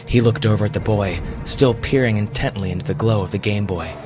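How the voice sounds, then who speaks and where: Male horror podcast host and narrator, lower register, music bed behind. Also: a little fast.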